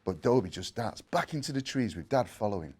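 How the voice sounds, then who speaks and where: A man reading a children's story aloud in an expressive way, with playful asides. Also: fairly low pitch, fairly quick, slightly rough. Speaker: story reader.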